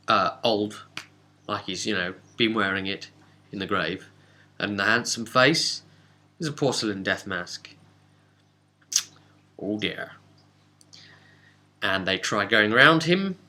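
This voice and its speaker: A man recapping a tabletop game as game master, telling it casually with dramatic, halting pauses. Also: fairly low pitch, sharp tone.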